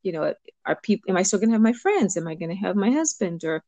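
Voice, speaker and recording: A woman's voice: medium pitch, big pitch swings, no room sound. Middle-aged cuban-born coach lady, thoughtful, lots of ums, dry mic. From Cuba. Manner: warm, reflective, pauses with ums. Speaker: empowerment coach, guest. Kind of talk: interview conversation.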